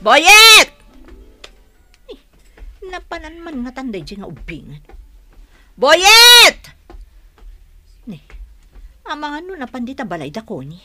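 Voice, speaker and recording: A woman's voice: sits high, wildly swooping pitch, slow pace, pauses often, pushed and bright, faint music behind, exaggerated voice. A woman playing an anxious, scolding village mother and wife in an Ilocano radio drama.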